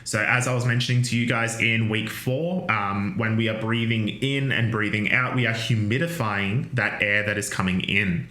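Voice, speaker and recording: A man lectures on anatomy with a bright, forward tone. He talks casually, trailing into fillers and restarts, and drops in joking asides. The sound carries the echo of a large room. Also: fairly low pitch, moderate pace, few pauses. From Australia. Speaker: university lecturer.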